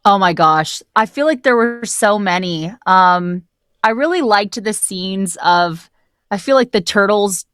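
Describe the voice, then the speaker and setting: A woman's voice: medium pitch, measured pace, a bit bright, clear, resonant tone, no room sound, studio quality. A woman who narrates audio drama, chatting casually and enthusiastically as a guest, reflecting on her work. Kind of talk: casual conversational interview.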